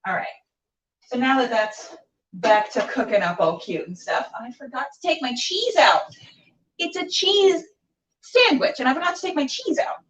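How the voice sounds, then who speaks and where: Playful, higher-voiced woman in a roomy space. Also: animated, moderate pace, a bit bright. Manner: playful, rambling, talking to the food and herself. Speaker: host of a live cooking and interview show. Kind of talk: casual chatty cooking demonstration to camera. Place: indoors while cooking, live stream. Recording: roomy, distant, dull camera sound.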